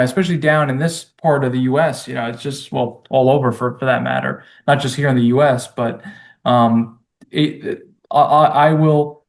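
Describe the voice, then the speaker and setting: A man in his forties, chatting casually as a security manager, often stumbling and repeating his words as he talks. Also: medium pitch. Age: 40s. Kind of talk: casual conversation.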